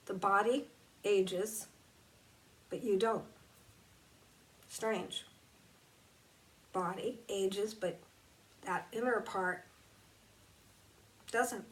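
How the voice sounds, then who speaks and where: A woman speaking as a spiritual teacher in a reflective, conversational talk, slowing down to draw out key words. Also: medium pitch, fairly even intonation, distant mic, low-quality recording. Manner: slow, emphatic and conversational, drawing out key words.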